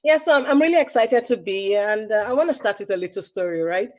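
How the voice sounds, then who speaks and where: A woman with a Nigerian accent, a photographer speaking as a panel guest with lively storytelling. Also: mid-range voice, fast. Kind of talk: casual panel talk.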